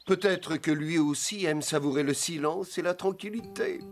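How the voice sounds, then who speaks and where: A voice actor playing a calm, sensible talking farm animal who gently lectures in a dubbed cartoon. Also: medium pitch, moderate pace, soft background music, close to an everyday voice. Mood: placid. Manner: placid, wise, lecturing gently. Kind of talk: French cartoon dub.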